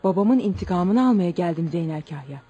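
A woman's voice: fairly low pitch, lively intonation, brisk, mellow, muffled recording. An actress playing a fierce young peasant woman out for vengeance in a Turkish radio play, menacing and declamatory. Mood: cold vengeance. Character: fierce young peasant woman avenging her murdered father. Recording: music clearly behind the voice.

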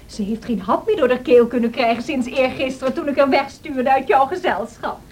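A woman's voice: high voice, a little fast, a little mellow, lightly acted. An actress playing a spirited, imperious young married woman in a radio drama, theatrical and haughty. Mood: gleeful, taunting. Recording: noisy old radio drama recording.

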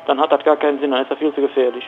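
A man's voice: medium pitch, flat delivery, rapid-fire, faint music behind. A matter-of-fact man answering questions in an unscripted phone conversation as a study recruiter. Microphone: telephone. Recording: dull, slightly noisy phone line.

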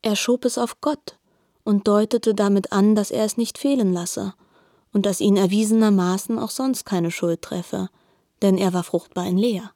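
A woman narrating a literary radio reading in a calm, measured way. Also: mid-range voice, no echo, studio quality.

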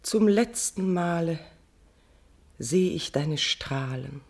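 A woman's voice: on the low side, lively intonation, takes it slowly, exaggerated voice. A woman playing a despairing, ashamed queen weary of life, in stately, mournful verse for a classical radio tragedy. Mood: anguished farewell.

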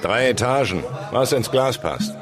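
An actor playing a brash, seasoned crime reporter in a radio drama, curt and wry. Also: male voice, mid-range voice, animated, unhurried, over music, natural voice. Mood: casual, wry. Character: brash, seasoned male crime reporter mentoring his trainee. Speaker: newspaper reporter.

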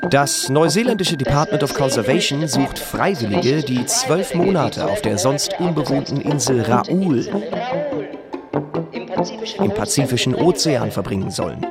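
A man's voice: medium pitch, expressive, unhurried. A man reading a scripted announcement in a radio drama, formal and factual. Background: loud music.

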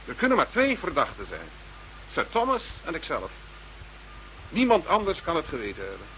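Government minister for radio drama: formal, eloquent, insistent, forward bright tone, faint background noise. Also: male voice, mid-range voice. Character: Dutch government minister protesting his innocence to detectives. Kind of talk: radio play acting. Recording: slightly noisy radio drama recording.

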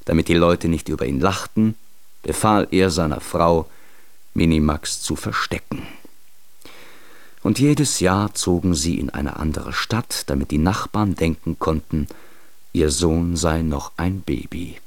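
A man with a low voice narrates a children's radio story. He takes his time, phrasing in a measured storyteller's way. The sound is very dry. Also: dry recording.

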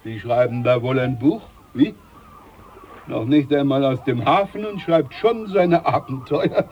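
An actor playing a boastful, condescending older ship's doctor in a radio play. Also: male voice, medium pitch, a little slow, highs cut off, slight background noise, natural voice. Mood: pompous. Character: pompous older ship's doctor, self-important.

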